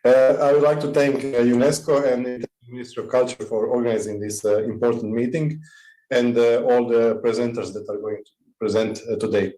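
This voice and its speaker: Male presenter on a video call, halting, mellow tone, roomy audio. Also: medium pitch, level tone. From Croatia. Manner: halting, many fillers. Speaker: conference presenter. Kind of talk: online presentation. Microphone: computer mic on a video call.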